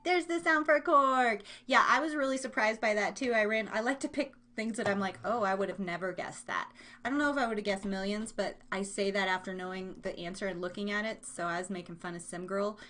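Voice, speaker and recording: A woman's voice: medium pitch, a little fast, pushed and bright, slight background noise. A woman with an American accent hosting a live trivia game in a casual, playful, chatty way. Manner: playful, chatty, giggly asides to listeners. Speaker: live show host running a trivia game. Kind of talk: casual live-stream chat.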